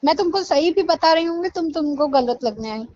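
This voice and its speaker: A high-pitched, defensive young ex-girlfriend acted by a woman in a phone-call drama. The sound is dry and dull, and she argues back with exasperation. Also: expressive, moderate pace, a little mellow, dry recording. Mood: exasperated. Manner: argumentative, retorting with questions. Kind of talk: staged phone-call conversation in casual Hindi.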